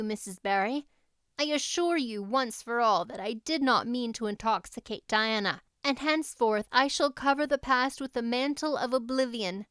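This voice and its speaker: A woman voicing an excitable, talkative young girl with a gushing, dramatic, flowery manner in an audiobook. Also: on the high side, clear, resonant tone, dry recording, studio quality, lightly acted. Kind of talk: audiobook character voice.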